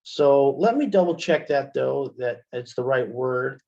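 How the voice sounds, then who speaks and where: A man giving a casual software demonstration as an instructor, conversational and thinking aloud as he goes. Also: medium pitch, lively intonation, unhurried, gravelly. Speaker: instructor, webinar presenter. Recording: dull, band-limited webinar audio.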